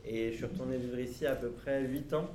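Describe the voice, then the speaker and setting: A man in his thirties from France, answering children's questions as an author, pausing and restarting often in a gentle, casual way. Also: mid-range voice, steady tone, echoey room, a little hiss or hum. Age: 30s. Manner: hesitant, frequent restarts and fillers, gentle with children. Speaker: author interviewed by schoolchildren.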